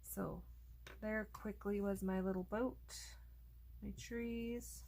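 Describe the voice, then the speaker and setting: A woman host casually narrating a coloring tutorial, chatty and relaxed, thinking aloud as she works, and losing her voice. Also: medium pitch, takes it slowly.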